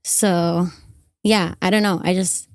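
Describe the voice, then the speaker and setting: A young adult woman, slightly hoarse from karaoke, chatting casually as a podcast guest with many fillers and trailing phrases. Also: on the low side, steady tone, takes it slowly, a little mellow, dry recording. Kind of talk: casual interview chat. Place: van-life festival in the desert.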